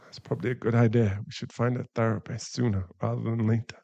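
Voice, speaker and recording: A man acting a remorseful, tender werewolf boyfriend in an intimate ASMR roleplay, halting and emotional, often breathy. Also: medium pitch, level tone, mellow, lo-fi sound. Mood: tender, grateful. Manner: halting, emotional, pleading, with sighs and breathy drops into whispers.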